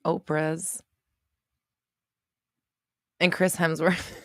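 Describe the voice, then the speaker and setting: A woman in her thirties hosting a casual livestream, reading viewer comments aloud and reacting with quick laughs and exclamations. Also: sits low, flat delivery, a bit bright, no room sound, studio quality. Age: 30s.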